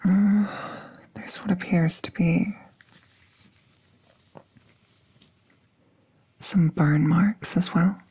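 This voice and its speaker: A woman playing a calm, gentle magical veterinarian in a soft, breathy, soothing ASMR-style roleplay, often half-whispering. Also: lowish voice, exaggerated voice. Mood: focused, concerned. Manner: slow, hushed, soothing, reassuring. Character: gentle, calm female magical veterinarian treating a wounded werewolf.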